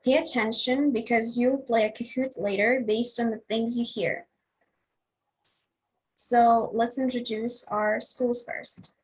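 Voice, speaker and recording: A woman with a husky voice presenting a scripted welcome as the host of a ceremony. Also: on the high side, steady tone, takes it slowly, rough recording. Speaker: host of a school project ceremony.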